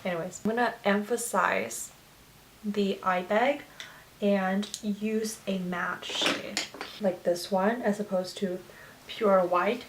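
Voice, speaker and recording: A woman's voice: mid-range voice, steady tone, takes it slowly, background noise. Woman in her 20s, Chinese first language, chatty get-ready-with-me beauty vlogger. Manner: chatty and gushing, with many fillers and restarts. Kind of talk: get-ready-with-me makeup tutorial chat.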